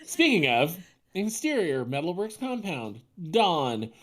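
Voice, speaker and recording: A woman's voice: fairly low pitch, big pitch swings, takes it slowly. Sardonic woman with swooping intonation. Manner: sardonic, sweary, incredulous. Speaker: podcast co-host reading stage directions. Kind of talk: script narration with casual sarcastic commentary.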